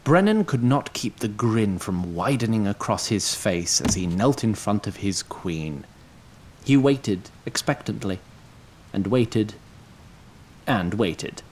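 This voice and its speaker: A man's voice: lowish voice, animated, slow, a little mellow, a little hiss or hum, low-quality recording. A man reading scripted storytelling narration as the narrator of an audio drama.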